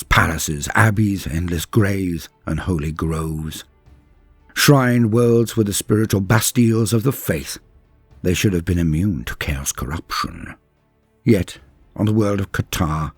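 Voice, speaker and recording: A man with a husky voice narrating scripted fantasy lore in a theatrical, portentous manner with deliberate pauses. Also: on the low side, no room sound. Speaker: narrator and host of a lore channel. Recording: music playing behind the voice. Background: background music.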